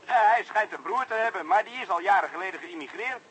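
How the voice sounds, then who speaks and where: Fast, bright junior policeman on phone. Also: high-pitched, animated, slightly rough, exaggerated voice. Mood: dutiful reporting. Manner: matter-of-fact reporting, slightly folksy. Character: eager, plain-spoken junior policeman reporting to his inspector. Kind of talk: radio play acting.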